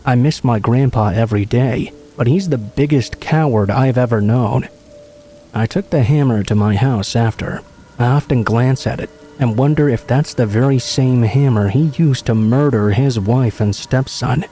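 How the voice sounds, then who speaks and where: A man calmly narrating true horror stories in an even, scripted read. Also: mid-range voice, normal speed, a little hiss or hum.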